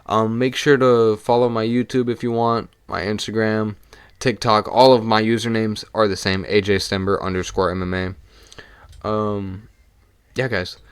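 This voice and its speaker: Young man, hoarse worn-out voice, low pitch, dry close sound, casual swearing podcast host. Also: flat delivery, dry recording. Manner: casual and profane, talks fast in bursts. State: hoarse, losing his voice. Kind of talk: casual solo podcast breakdown.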